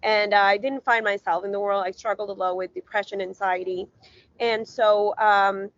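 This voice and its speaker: A woman's voice: medium pitch, steady tone, normal speed, no room sound, muffled recording. A woman from Ecuador, a guest in an interview, talking earnestly with lots of fillers and rambling asides. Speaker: guest, visibility strategist. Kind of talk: casual interview talk.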